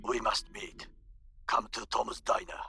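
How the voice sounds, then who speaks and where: Give this to a stern video game fixer. His voice is rough and creaky, low and hard-edged. He speaks in clipped, formal commands with grave solemnity. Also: takes it slowly, bright, forceful tone, heavily acted. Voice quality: raspy, gravelly. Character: stern, solemn older male fixer calling to demand a meeting.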